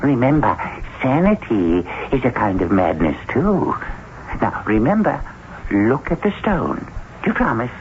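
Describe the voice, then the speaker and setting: Eccentric English mystic for radio drama: theatrical, swooping pitch, cryptic, over a tinny old broadcast. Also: male voice, big pitch swings, measured pace, slight background noise. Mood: insistent. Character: eccentric, cryptic Englishman pressing a mysterious stone.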